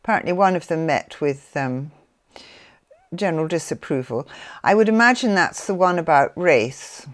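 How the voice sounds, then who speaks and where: A woman with an English accent giving an informal talk and reading from notes in an earnest, deliberate way with pauses. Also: deep voice, animated. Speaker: speaker.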